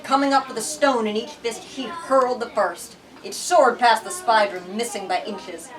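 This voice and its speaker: A woman reading a story aloud to a group in an expressive, emphatic way. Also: fairly high pitch, normal speed, crowd noise. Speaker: bookstore lit talk leader reading aloud. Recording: distant microphone, room with audience noise.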